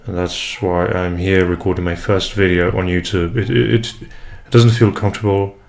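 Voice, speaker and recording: Man, young, from Brazil, Portuguese first language, very low voice, halting and thoughtful, home desk mic. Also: little pitch movement, gravelly. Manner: hesitant, many fillers and restarts, reflective. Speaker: English tutor and vlogger. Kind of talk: casual first vlog introduction. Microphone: USB desk mic, unprocessed.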